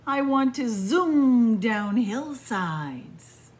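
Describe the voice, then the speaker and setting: A middle-aged woman librarian reading a picture book aloud to young children in a library, in a sing-song way with soft hushed asides. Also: fairly high pitch, big pitch swings, very slow, breathy edge. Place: library with bookshelves, indoors.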